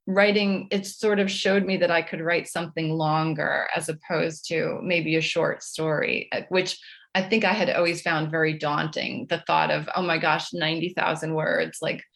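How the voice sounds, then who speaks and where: Middle-aged woman, lower-pitched voice, interview guest, chatty, anecdotal, self-deprecating, conversational. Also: vocal fry, a bit bright. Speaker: guest author.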